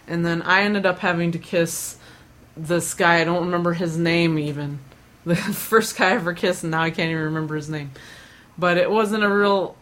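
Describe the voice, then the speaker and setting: Woman, young adult, low-pitched, casual chat, rambling, self-deprecating. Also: steady tone, normal speed, fairly bright tone. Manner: rambling, self-deprecating, many fillers and restarts.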